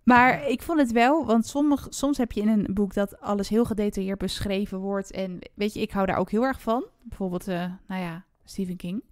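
A woman's voice: mid-range voice, moderate pace, few pauses, no echo, clean studio sound. A woman co-hosting a podcast in a casual book chat. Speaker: podcast co-host.

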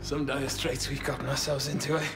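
An actor playing a wounded, stoic British agent in a video game, weak and pained, with a British accent. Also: male voice, mid-range voice, flat delivery, brisk, fairly bright tone, natural voice. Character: battered, stoic British agent, calm under pain. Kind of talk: video game character acting. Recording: echoey room with game music.

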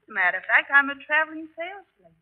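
A woman playing a prim, brisk lady traveling salesman in a 1940s radio comedy. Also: high-pitched, sing-song. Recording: thin, phone-like 1940s radio broadcast.

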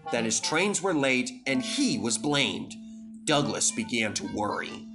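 Slow-paced man narrating a children's story. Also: mid-range voice, very animated, music in the background.

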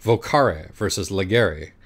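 A man's voice: low-pitched, takes it slowly. A man voicing a smug, flippant cool dude who loves wordplay, in an audiobook story. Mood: cocky. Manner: flippant, cocksure. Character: smug, cocky, wordplay-loving rad young dude.

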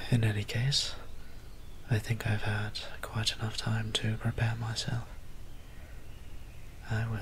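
Male actor as courtly, shy vampire priest, ASMR audio drama. Soft, breathy, very even delivery, slow and tender. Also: lowish voice, slight background noise. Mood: nervous, shy. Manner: hesitant, tender, formal old-fashioned wording.

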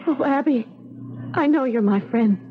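An actress playing a frightened, earnest young bride lost in time, in a 1970s radio drama. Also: female voice, sits high, normal speed, a little hiss or hum. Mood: shaken, urgent. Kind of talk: 1970s radio drama acting, first-person narration and dialogue. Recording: dull lo-fi 1970s radio broadcast. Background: dramatic music.

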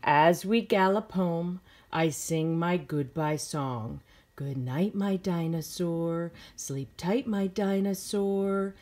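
A woman's voice: fairly low pitch, slow pace, darkish tone. A middle-aged woman reading a children's picture book aloud expressively, with simple phrasing for young listeners.

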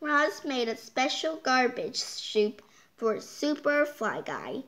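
Child storytime reader, very slow pace, halting, exclaiming, picture book read-aloud. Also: high-pitched, sing-song, fairly bright tone. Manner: halting, stumbling over words, exclaiming. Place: indoors, hands holding book before the camera.

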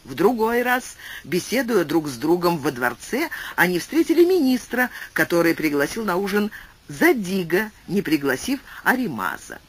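Husky, animated audiobook narrator. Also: normal speed. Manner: animated reading with wide pitch swings; reads explanatory footnotes aloud. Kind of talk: scripted literary narration.

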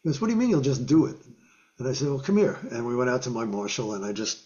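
Older male guest, lively raconteur, mid pitch, slightly muffled webcam call. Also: fast. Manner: animated storyteller, acts out quotes and guitar sounds, laughs often. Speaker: guest musician. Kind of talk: storytelling in a casual interview. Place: home music room with guitars on the walls. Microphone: video call webcam.

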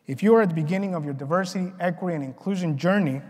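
High-pitched man giving a speech, reverberant hall. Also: a little mellow. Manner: earnest, deliberate, measured phrasing. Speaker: keynote speaker. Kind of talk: prepared conference keynote speech. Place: large conference ballroom, on stage. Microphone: lapel mic at a podium.

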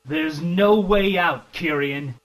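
A man playing an anguished but resolute government agent in an arcade horror game, with stilted, drawn-out dramatic delivery. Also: on the high side. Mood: grim.

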